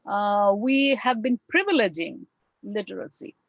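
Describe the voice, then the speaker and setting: A woman with a Bengali accent gives a reflective academic talk to a remote audience, pausing often to find her words. Her voice sits at a middle pitch. The sound is dry and close, as from a computer mic on a video call. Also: sing-song, no room sound, highs cut off. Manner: reflective, with frequent fillers and pauses. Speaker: guest lecturer.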